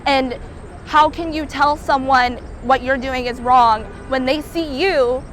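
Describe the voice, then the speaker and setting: Young woman from california, high voice, talks earnest and candid, faint street noise behind. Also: slow pace. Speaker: interviewee. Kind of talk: earnest interview answers.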